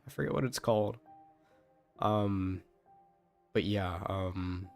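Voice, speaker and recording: Male game streamer, lowish voice, off-the-cuff and rambling, talking to himself over background music. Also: slow. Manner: rambling, thinking aloud. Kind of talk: casual live gameplay commentary.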